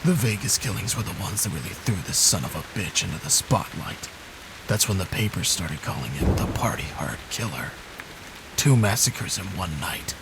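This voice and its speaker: An actor playing a bitter, world-weary middle-aged police detective in a game cutscene, hard-boiled and foul-mouthed with a gravelly voice. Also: male voice, deep voice, sing-song, normal speed, background noise, heavily acted. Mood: grim, incredulous. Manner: hard-boiled, sardonic, cursing. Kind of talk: noir video game cutscene acting. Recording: loud music under the voice. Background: loud music.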